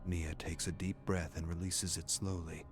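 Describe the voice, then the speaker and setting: A man reading a scripted story as a dramatic narrator, grave and deliberate. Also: sits low, little pitch movement. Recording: music playing behind the narration. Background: background music.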